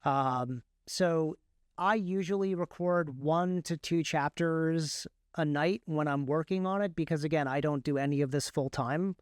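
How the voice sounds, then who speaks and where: A man's voice: on the high side, unhurried, clear, resonant tone, no room sound, studio quality. A man explaining his process as a guest in a casual interview, often repeating and revising his phrases as he goes. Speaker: guest, indie author and audiobook narrator.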